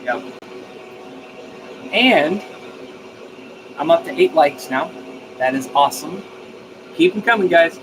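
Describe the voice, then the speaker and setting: An enthusiastic man with a slightly high-pitched voice hosts a casual live stream. He talks in a folksy, informal and effusive way, with clear background noise behind him. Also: expressive, slow, pauses often. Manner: folksy, informal, mildly profane. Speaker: live stream host of a DIY radio channel.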